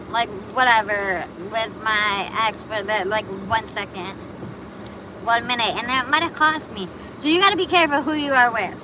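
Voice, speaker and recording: Chatty woman streaming amid traffic. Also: fairly high pitch. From Buffalo, New York. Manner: chatty, rambling, reacts to chat comments. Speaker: livestream host. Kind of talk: casual live chat answering viewers.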